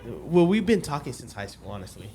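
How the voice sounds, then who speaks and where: A man's voice: mid-range voice, very animated, brisk, a little mellow, a little hiss or hum. A man in his late twenties telling a personal story as a guest on a casual podcast, rambling, restarting often and losing track of the dates.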